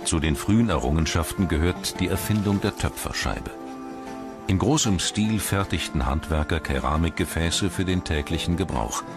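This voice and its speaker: A man reading scripted documentary narration in a measured, formal manner. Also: low voice. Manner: measured, formal read delivery. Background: background music.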